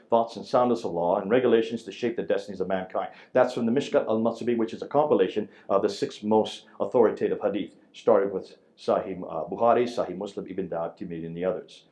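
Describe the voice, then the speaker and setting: A man giving an emphatic lecture to a church audience, with a very loud voice, firing rhetorical questions at his listeners. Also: medium pitch.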